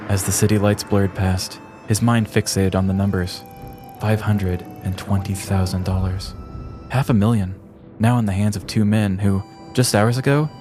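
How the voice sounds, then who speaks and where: A man narrating a scripted story as a podcast host, in a measured, dramatic manner. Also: fairly low pitch, mellow. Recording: music behind the voice. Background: background music.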